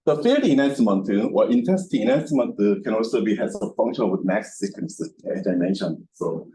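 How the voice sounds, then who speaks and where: A man giving an academic lecture as a researcher at a seminar, speaking haltingly and repetitively with non-native English phrasing. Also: on the low side, animated, poor audio. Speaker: researcher presenting at a scientific seminar. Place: seminar room with projection screen. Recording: bright room recording with background noise.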